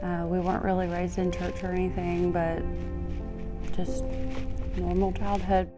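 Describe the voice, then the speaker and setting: A woman's voice: fairly low pitch, little pitch movement, a little slow. A woman from Georgia, talking about her upbringing casually and plainly. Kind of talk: personal recovery testimonial. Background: soft music.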